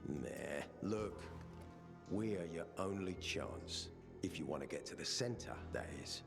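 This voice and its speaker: An actor playing a stern male peacekeeper officer who speaks in a firm, measured, commanding way. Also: sits low, lively intonation, gravelly, exaggerated voice. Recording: game cutscene with music bed.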